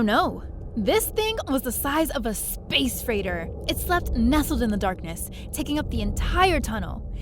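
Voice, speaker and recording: A woman's voice: fairly high pitch, background noise, close to an everyday voice. A woman playing an eager, naive young adventurer who narrates her own story in an audio drama. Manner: animated, chatty, naive. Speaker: lead character and narrator.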